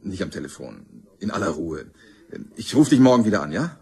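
An actor in a radio crime drama playing a terse, harried well-off man under pressure, with a rough, husky voice. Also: low voice, big pitch swings, fairly quick, warm tone, full character voice. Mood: evasive, hurried.

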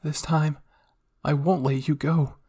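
A man's voice: fairly high pitch, little pitch movement, slow, mellow, husky. A man voicing the inner thoughts of a desperate, self-doubting fighter in an audio story, with intense and strained delivery. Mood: desperate, forceful. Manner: intense, swinging from whispers to strained cries.

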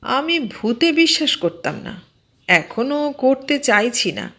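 A woman voicing a mild, stubbornly sceptical man in an audio story, explaining things in a measured, matter-of-fact way. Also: on the high side, big pitch swings, bright, forceful tone, full character voice.

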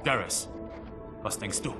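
An actor playing a noble, earnest warrior god and protector in video game dialogue, formal and dignified. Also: male voice, unhurried, lightly acted. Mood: grave, urgent. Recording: music behind the voice.

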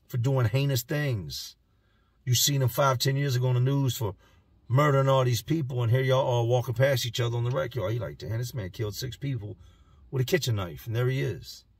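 A man in his thirties with a raspy voice, vlogging bluntly from a parked car. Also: mid-range voice, no room sound. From Virginia, US. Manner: blunt, plain-spoken street talk. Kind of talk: casual talking-head vlog. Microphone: phone camera at arm's length.

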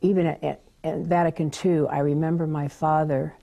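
Older woman with a low, gravelly voice. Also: level tone, normal speed, warm tone. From Southern California. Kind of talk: television interview. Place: studio set, indoors.

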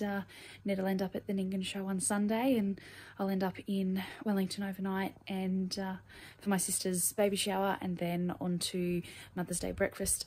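A woman's voice: mid-range voice, dark tone, slight background noise. A woman in her thirties with an Australian accent, vlogging casually to a phone camera about her busy farm weekend in a chatty, organised way. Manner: chatty, organised, matter-of-fact. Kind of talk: casual vlog narration to camera. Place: in a car, on the road and outdoors on a farm. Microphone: phone camera held at arm's length. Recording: music bed playing underneath.

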